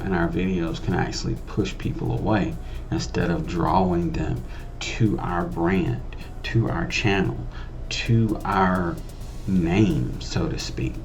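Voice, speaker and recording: A man with a low, creaky voice talks through a tutorial as a casual voice-over. He explains things conversationally and repeats phrases to drive them home, with faint music underneath. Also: dark tone. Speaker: host of a video editing tutorial channel.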